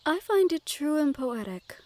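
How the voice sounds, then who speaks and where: A woman playing a gentle, well-bred young noblewoman in a fantasy audio roleplay, speaking in polite, measured phrases directly to the listener. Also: high voice, big pitch swings. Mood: serene, thoughtful.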